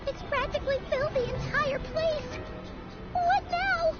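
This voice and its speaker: A woman voicing a tiny, excitable, anxious fairy companion in a video game, with a squeaky childlike voice. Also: a little slow. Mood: alarmed, curious. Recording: dull lo-fi game audio. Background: loud game music.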